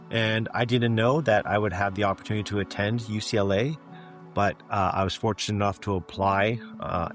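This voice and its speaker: A middle-aged man from Canada recounts his past at a steady, thoughtful pace, often pausing on "um" and "you know." His voice sits low. Soft music plays faintly behind him. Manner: reflective, measured, frequent fillers like um and you know. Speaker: guest, physician executive. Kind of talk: interview storytelling.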